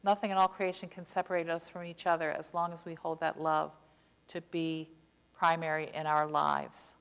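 An older woman pastor delivering an earnest, impassioned sermon from a pulpit, slowing down to stress key words. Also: low-pitched, little pitch movement, vocal fry, a bit bright, clear, resonant tone. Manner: earnest, impassioned preaching with slow emphatic stresses. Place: church sanctuary, behind a pulpit. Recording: long church reverb.

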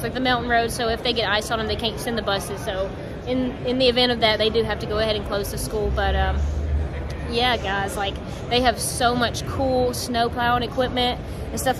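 A young woman in her twenties vlogging on a phone as she walks a big indoor trade show hall, chatting and laughing in a rambling way. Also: on the high side. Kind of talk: casual walk-around vlog. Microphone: phone at arm's length. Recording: loud background noise.

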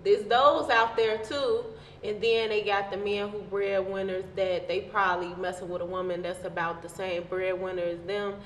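A woman's voice: mid-range voice, level tone, moderate pace. A woman co-hosting a casual podcast discussion, arguing her opinions emphatically. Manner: emphatic, argumentative. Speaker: podcast host. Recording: echoey room with background noise.

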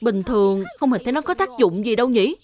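A woman's voice: a little high, animated, quick pace, darkish tone, lightly acted. A woman voicing a naive, earnest little boy, an older brother in a children's cartoon. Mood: curious. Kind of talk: Vietnamese dubbed children's cartoon acting.

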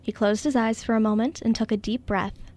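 A woman's voice: on the high side, fairly even intonation, brisk, darkish tone. A woman reading scripted story narration as the narrator of a radio drama.

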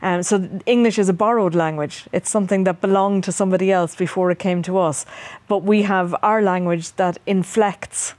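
A middle-aged Irish woman poet, reading her work and answering interview questions in a measured, hesitant way with many ums, recorded on a studio set with a lapel mic. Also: mid-range voice, fairly even intonation, a little mellow, no room sound. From Ireland. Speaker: poet guest.

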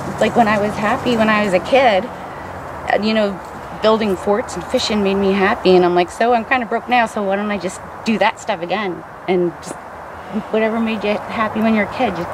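A middle-aged woman talks casually and rambles a little, with lots of ums and likes, as she walks through a hut in the woods. She is recorded outdoors by someone holding the camera. A steady hiss of background noise stays under her voice the whole time. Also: medium pitch, brisk. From New Jersey. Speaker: bushcraft builder giving a tour. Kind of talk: casual show-and-tell. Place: outdoors in woods, inside and beside a stick hut. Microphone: camera held by another person.

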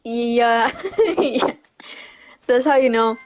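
Young female streamer, slightly high voice, casual, chatty, quick to laugh. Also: rough recording. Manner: chatty, laughs often, repeats "you know what I'm saying". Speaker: livestreamer. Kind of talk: casual chat while gaming, reading chat.

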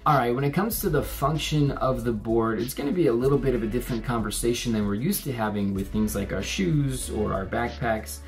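A man in his thirties reviewing a paddle board to camera, explaining features in a measured way from a room indoors. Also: medium pitch, soft background music. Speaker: gear reviewer co-host. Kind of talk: product review talk to camera. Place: indoor room with curtains and a plant.

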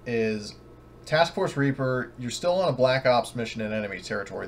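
Guy running an online tabletop rpg as gm, bit halting, some background noise. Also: mid-range voice. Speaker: game master. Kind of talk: narrating an online tabletop roleplaying game, part read from notes. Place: online voice chat.

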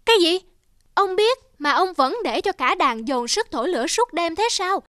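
High-pitched, scolding little forest bird. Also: female voice, lively intonation, normal speed, a bit bright, no echo, clean studio sound. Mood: indignant. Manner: blunt, scornful, exclamatory. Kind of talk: children's cartoon dubbing.

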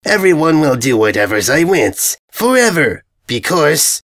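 A man's voice: fairly high pitch, sing-song, a little slow, fairly bright tone. A man playing a whiny, pompous fantasy invader. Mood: pompous boasting. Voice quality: whining. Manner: boastful, dramatic, ungrammatical. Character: whiny, pompous, dim-witted armored male invader.

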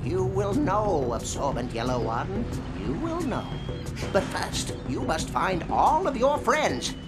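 A solemn old crab sage for a game cutscene. He pronounces prophecies slowly and with ceremony, his pitch swinging widely. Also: sing-song, over loud music, exaggerated voice. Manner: slow, ceremonious, portentous. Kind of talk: video game cutscene acting.

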